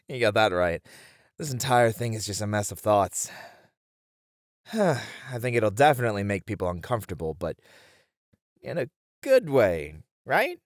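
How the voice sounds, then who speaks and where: A thoughtful, candid game developer, played by a man, speaks with a lowish voice that moves around a lot in pitch. He sounds casual and a little hesitant, uneasy yet eager, recorded dry for an intimate audio roleplay. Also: huge pitch swings, measured pace, long pauses, no room sound. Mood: uneasy, reflective. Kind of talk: ASMR roleplay voice acting.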